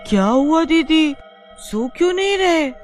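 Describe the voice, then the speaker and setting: A woman's voice: high-pitched, animated, measured pace, soft background music, voice pushed up. A woman voicing a timid, questioning young village girl with a fretful, childlike manner in a storybook tale. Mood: teasing doubt. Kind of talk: storybook character voice.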